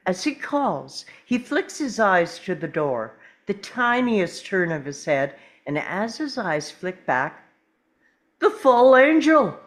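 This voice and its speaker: Animated, slow-paced woman narrator. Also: medium pitch. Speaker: reader of stage directions. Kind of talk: dramatic scripted narration at a table read.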